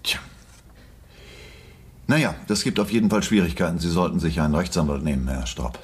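An actor playing an unhurried, quietly sceptical police investigator in a radio drama, putting clipped questions with musing pauses. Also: male voice, deep voice, expressive, husky. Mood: official, matter-of-fact. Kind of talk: German radio drama acting.

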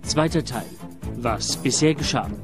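A man's voice: mid-range voice, a little slow. Formal male announcer, recap read, music bed.

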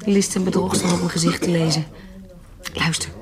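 An actress playing an anxious, scheming former baroness with second sight in a historical radio drama, grave and urgent. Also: female voice, fairly low pitch, fairly even intonation, moderate pace, a crowd in the background, close to an everyday voice. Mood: grave, pleading.

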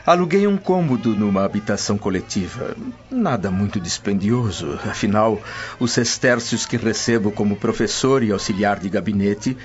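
An actor playing a courteous, cultured freed slave and teacher in ancient Rome, formal and earnest. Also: male voice, lowish voice, animated, normal speed, lightly acted. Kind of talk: radio drama acting. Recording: slightly dull audio with music.

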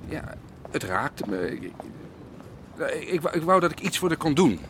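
Earnest, hesitant, expressive young-hearted policeman. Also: a little high, a little slow, a little hiss or hum, heavily acted. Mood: troubled, earnest. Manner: hesitant, searching for words. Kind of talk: radio drama acting.

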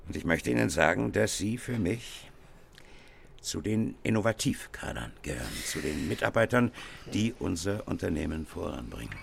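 Pompous old boss in a radio play, voice jumps around a lot, halting, bit of noise behind. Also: male voice, low-pitched, big pitch swings, creaky, heavily acted. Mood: effusive, pompous. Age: older. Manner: halting, repetitive, effusive business praise. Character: pompous, jittery older company boss, male.

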